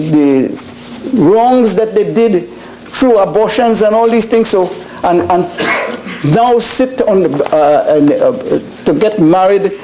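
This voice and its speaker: Halting high-voiced male senator, noisy recording. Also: warm tone. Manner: halting, questioning rhetoric. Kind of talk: parliamentary debate speech.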